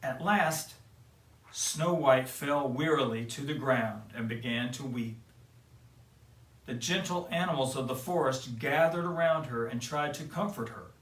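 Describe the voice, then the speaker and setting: A man reads a children's storybook aloud at a very unhurried pace, in a gentle read-aloud manner. His voice sits in a middle range, and the room sounds echoey with the mic a little away from him. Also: mid-range voice. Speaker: storyteller for kids. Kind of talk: reading a picture book aloud. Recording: roomy, mic at a distance.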